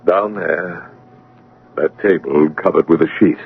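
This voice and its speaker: An actor playing a calm, commanding guide between life and death, measured and authoritative, in an old-time radio drama. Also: male voice, deep voice, vocal fry, breathy edge, exaggerated voice. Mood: calm, urging. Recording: narrow-band, noisy old radio broadcast.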